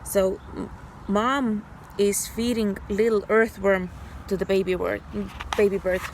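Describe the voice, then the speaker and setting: A woman teacher reading a story aloud to young children in an animated, deliberate, child-directed way. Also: medium pitch, slight background noise. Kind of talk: expressive children's read-aloud.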